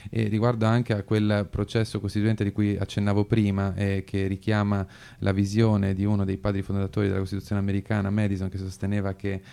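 Low, creaky-voiced man, halting commentary. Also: monotone, dark tone. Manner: halting, hesitant, searching for words. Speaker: radio commentator. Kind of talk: political commentary, semi-improvised.

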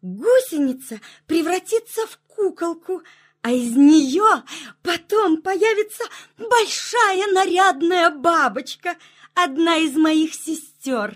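An actress playing a shy, gentle, slightly vain butterfly in a children's audio play, with drawn-out, cooing delivery. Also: female voice, very high voice, expressive, exaggerated voice. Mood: gentle, explaining. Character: shy, sensitive, slightly vain female mourning-cloak butterfly.

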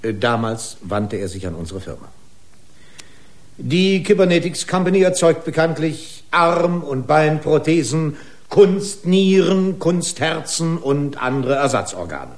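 An actor playing a pedantic, accusing corporate lawyer in a German radio drama, speaking in formal, pompous legal style. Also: male voice, a little high, big pitch swings, measured pace. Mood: pedantic, indignant.

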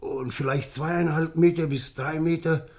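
An actor in a German radio play, playing a shaken, tipsy village man who tells of an uncanny sighting in a halting, insistent way. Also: fairly high pitch, steady tone, natural voice. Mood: shaken, awed. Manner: halting, stammering, insistent eyewitness telling.

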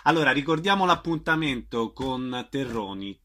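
A man's voice: mid-range voice, expressive, moderate pace, sharp tone. A man hosting a radio interview in Italian, attentive as he puts questions and gives details.

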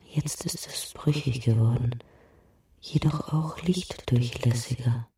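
Sombre woman storyteller, radio play, dark mellow voice, roomy and a bit far off. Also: very deep voice, takes it slowly, full character voice. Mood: sombre, hushed. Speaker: narrator in a radio drama. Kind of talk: literary audio drama narration. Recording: roomy, distant from the mic.

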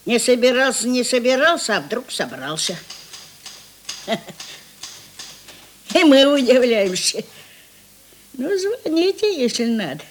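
Slow, animated folksy mutterer. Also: mid-range voice, slight background noise. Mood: marvelling, indulgent. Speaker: actor in a radio play. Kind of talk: radio drama character acting.

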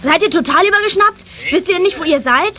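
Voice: very high-pitched, animated, fast, a crowd in the background. A young performer playing a hot-headed, fearless schoolkid in a children's radio play, blunt and indignant. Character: hot-headed, fearless schoolboy judo fighter scolding louts. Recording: noisy background with clicks.

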